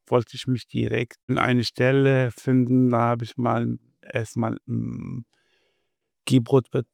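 A young man from Syria, answering interview questions in a halting way and pausing often to find his words. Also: mid-range voice, creaky, no echo, studio quality. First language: Arabic. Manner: halting, searching for words with many pauses. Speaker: interview guest, bank customer adviser.

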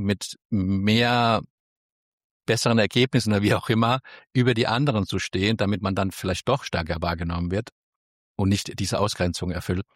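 Male guest with Spanish roots; low, measured, cautious delivery, dry studio sound. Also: dry recording. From Spain. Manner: careful, measured, with frequent restarts. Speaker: interview guest. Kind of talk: thoughtful interview answers.

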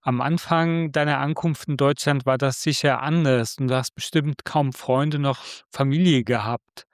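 A man's voice: mid-range voice, moderate pace, no room sound, studio quality. A man co-hosting an interview, asking friendly questions and affirming his guest. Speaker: podcast co-host.

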